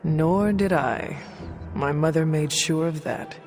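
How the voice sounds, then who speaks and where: Game lead: reserved demon hunter, formal measured diction, raised male pitch, music underneath. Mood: sincere, reserved. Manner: formal, measured, few contractions. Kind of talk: video game cutscene acting.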